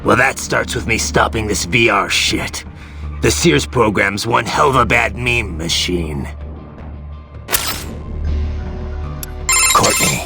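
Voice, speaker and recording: An actor playing a grim, weary, duty-bound cyborg soldier in a video game, with a gravelly voice and brooding, terse manner. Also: male voice, lowish voice, measured pace, over music. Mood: grim, resolute.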